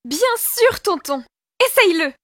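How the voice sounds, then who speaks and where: A woman voicing an excitable, earnest young female pet dog in a children's cartoon, with expressive, exclamatory delivery. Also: very high-pitched, slow pace, dry recording, clean studio sound, heavily acted. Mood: eager, coaxing. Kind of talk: animated children's cartoon dub. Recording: music behind the voice.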